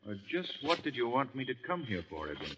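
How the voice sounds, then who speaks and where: An actor playing a thoughtful, composed gentleman sleuth in an old radio mystery, measured and courteous. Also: mid-range voice, big pitch swings, close to an everyday voice. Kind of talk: old-time radio mystery drama acting. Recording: muffled old radio, faint hum.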